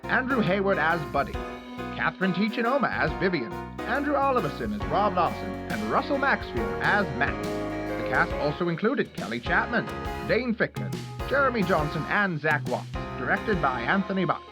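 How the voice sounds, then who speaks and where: A man's voice: high voice, sing-song, normal speed. A man announcing in a theatrical, promotional old radio drama style, reading a script. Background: prominent music.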